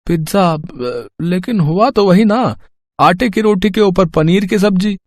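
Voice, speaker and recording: A simple, earnest village lad in a children's story, acted by a man. His voice is raised and very animated, with a hesitant manner and a dry, close sound. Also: high-pitched, a little slow, no echo. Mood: amazed. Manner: simple, wide-eyed, hesitant. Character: naive, earnest young village man, eager student.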